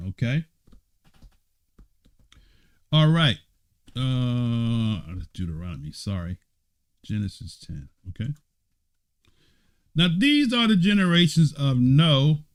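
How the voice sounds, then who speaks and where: A man's voice: medium pitch, sing-song, takes it slowly, long pauses. A man serving as an elder, teaching an informal Bible study. He reads scripture aloud, explains it in a conversational way, and keeps checking in with "right?" and "okay?". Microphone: streamed online talk.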